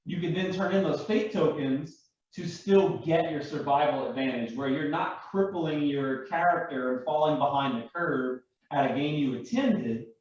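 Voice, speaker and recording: A man's voice: a little high, darkish tone. A man chatting casually and enthusiastically as he explains game rules, rambling and correcting himself. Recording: echoey room, distant mic, muffled sound.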